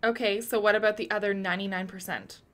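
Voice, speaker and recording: A woman playing a determined leader of an urgent investigation in a radio play, speaking in a brisk, probing, blunt way. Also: mid-range voice, fairly even intonation, fairly bright tone, lightly acted.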